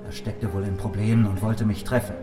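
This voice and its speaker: A world-weary private eye in a noir radio play, played by a man. The voice sits low and stays dry and wry, with a desperate edge. Music plays clearly behind it. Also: level tone, a little fast, natural voice. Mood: pleading, earnest. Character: down-on-his-luck male noir detective, wry and desperate. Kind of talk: first-person noir audio drama narration and dialogue.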